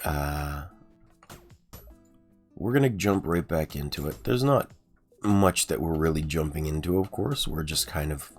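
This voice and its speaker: A man with an extremely deep voice talks his way through a video game stream, loose and unhurried. His throat is sore today, so the voice comes out raspy. Faint music plays underneath. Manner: relaxed, rambling, with frequent ums and uhs. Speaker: gaming streamer. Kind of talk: casual let's-play commentary.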